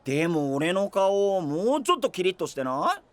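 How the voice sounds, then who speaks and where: A man's voice: sits high, big pitch swings, normal speed. A man playing a naive, good-hearted young knight in an anime-style voice drama, talking in a boyish, casual, exclamatory way. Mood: naive, chatty. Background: music.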